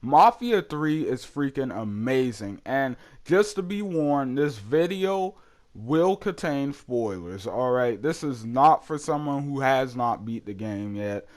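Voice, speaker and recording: A young man hosting his gaming channel, reviewing a game in casual, rambling talk full of fillers, his voice a bit hoarse from a cold. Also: mid-range voice, lively intonation, slow. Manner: rambling, many fillers and restarts.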